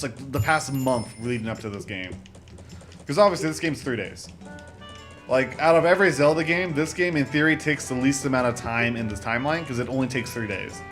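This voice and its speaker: Young male gamer host, loose rambly commentary, game music underneath. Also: medium pitch. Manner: rambling, excitable, lots of fillers and self-corrections. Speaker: gaming channel host. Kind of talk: casual let's-play commentary. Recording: game music playing behind voice. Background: video game music.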